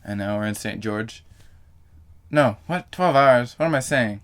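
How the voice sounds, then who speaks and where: A man's voice: medium pitch, lively intonation, a little slow, fairly bright tone. A young man vlogging to camera in a casual, rambling way, full of fillers and self-corrections.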